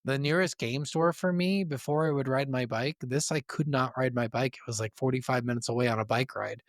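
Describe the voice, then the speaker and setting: A man with a mid-pitched voice banters as co-host of a gaming podcast. He is blunt and jokey and swears freely. The recording is dry, without audible room echo. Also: fairly quick. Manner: blunt, profane, joking. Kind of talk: casual gaming podcast chat.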